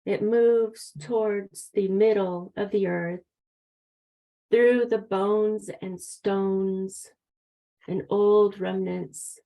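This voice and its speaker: Woman with a mellow voice, very slow and soothing, leading a grounding meditation. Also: medium pitch, fairly even intonation, vocal fry, low-quality recording. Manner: soft, soothing, unhurried. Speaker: meditation guide. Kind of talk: guided meditation.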